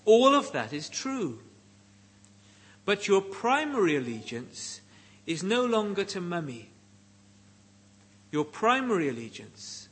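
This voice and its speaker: A middle-aged man with a Welsh accent preaching a sermon in deliberate, emphatic phrases with pauses. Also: on the high side, fairly bright tone, husky. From Wales.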